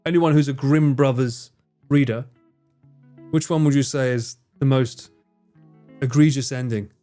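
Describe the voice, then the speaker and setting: A man's voice: medium pitch, fairly even intonation, unhurried, warm tone, faint music behind. A young man streaming live, chatting with his viewers and swearing and joking as he plays a game. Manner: sweary, jokey, talks to chat and laughs often. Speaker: livestreamer. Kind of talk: live stream chat while gaming.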